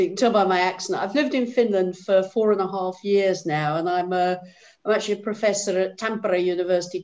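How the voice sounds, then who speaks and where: A woman's voice: mid-range voice, a little mellow, highs cut off. A woman professor giving an earnest talk at a rally over a video call, often restarting her words. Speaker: guest speaker, professor.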